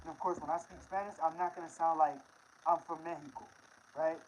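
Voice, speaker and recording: A man's voice: fairly high pitch, fairly even intonation, fairly quick, darkish tone. Laid-back male livestreamer, noisy background. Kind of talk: casual livestream chat, answering viewers.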